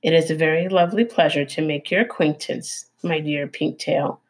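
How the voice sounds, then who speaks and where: A woman voicing a polite female robot who speaks in a stiff, overly formal and precise way, with a husky voice. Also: low voice, moderate pace.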